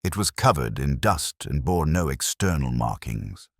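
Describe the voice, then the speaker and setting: A man with an extremely low voice narrates a Victorian detective tale. His delivery is measured and formal, with lively movement in pitch. The sound is dry and close, like a studio audiobook. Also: creaky, dry recording. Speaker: narrator, reading as Dr. Watson.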